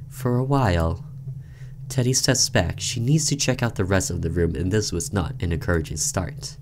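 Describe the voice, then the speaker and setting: A low-pitched man hosts a game playthrough, reading the story text aloud. His delivery is deliberate and dramatic, loosened by casual asides. Some background noise sits under the voice. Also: a little mellow. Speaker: gaming video host. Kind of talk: reading visual novel narration aloud with casual commentary.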